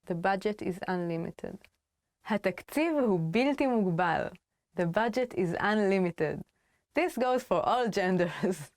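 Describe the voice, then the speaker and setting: A playful woman hosting a video language lesson, upbeat and chatty. Her voice sits at a medium pitch and is recorded close and dry, with no room sound. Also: animated, moderate pace, a little mellow, no room sound. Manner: playful and upbeat, with frequent laughs. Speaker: language teacher.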